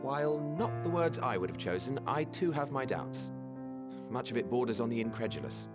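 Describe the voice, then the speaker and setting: An actor playing a calm, reflective scholar of an ancient people in a game cutscene, politely reasoning in archaic phrasing. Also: male voice, medium pitch, moderate pace, natural voice. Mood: mild doubt. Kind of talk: video game cutscene voice acting. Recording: music under the voice.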